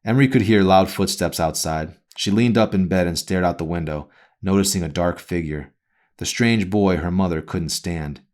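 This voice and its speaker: A man reading a novel aloud as its author, in a brooding, dramatic narration style with deliberate phrasing. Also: low-pitched, darkish tone.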